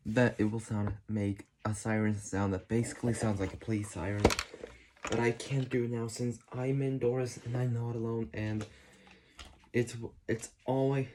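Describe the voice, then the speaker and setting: Male how-to host, mid-pitched, halting informal delivery, noisy indoor recording with clicks. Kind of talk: casual hands-on demonstration. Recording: noisy room with clicks and handling sounds.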